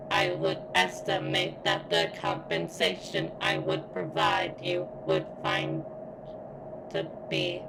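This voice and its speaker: An extremely deep, slow-speaking female surveillance robot, acted by a woman for an ASMR roleplay. She is formal and halting, with a vulnerable, flirtatious undertone and a strained edge as if in pain. Gravelly fry runs through the voice, over clearly audible background noise. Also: exaggerated voice. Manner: stilted, formal, halting phrasing with pained strain.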